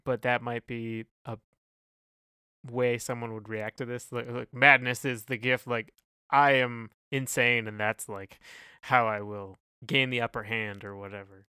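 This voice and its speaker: Crisp, bright-voiced man thinking aloud. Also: mid-range voice, level tone, unhurried, no room sound, clean studio sound. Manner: hesitant, hedging, thinking aloud. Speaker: podcast co-host. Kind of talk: casual discussion of song lyrics.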